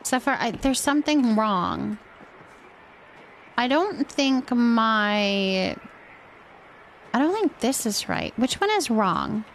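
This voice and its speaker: A woman chatting casually on a livestream as host, musing indecisively out loud while she decorates. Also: fairly high pitch, wildly swooping pitch, slow pace, creaky, pauses often, a little hiss or hum, poor audio.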